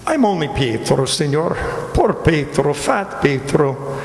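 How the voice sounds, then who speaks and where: An actor playing a sly, shabby fat Italian stranger in a radio drama, with an Italian accent and a courteous, theatrical, mock-humble manner. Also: male voice, fairly high pitch, very animated, vocal fry, slight background noise, heavily acted. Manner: mock-humble, ornate, teasing, deliberate speech. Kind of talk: amateur radio drama acting. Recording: very echoey reverberant hall.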